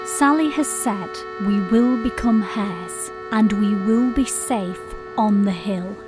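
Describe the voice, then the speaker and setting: A woman's voice: mid-range voice, takes it slowly, close to an everyday voice. An actress playing a self-doubting, apprehensive woman, confiding her thoughts in an audio drama monologue with music behind her. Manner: reflective, confiding.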